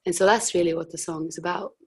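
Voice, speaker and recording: A young woman with a husky voice, a musician guest chatting casually in an interview, hesitant with frequent restarts. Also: on the low side, steady tone, fast, a little mellow.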